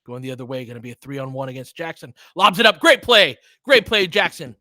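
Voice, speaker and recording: A man hosts a fan basketball stream, calling the game in a bright, forceful voice. His pitch swings widely as he mixes play calls with slangy, casual reactions. The sound is dry and close. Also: sing-song, fairly quick, no room sound. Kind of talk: live basketball play-by-play commentary mixed with casual chat.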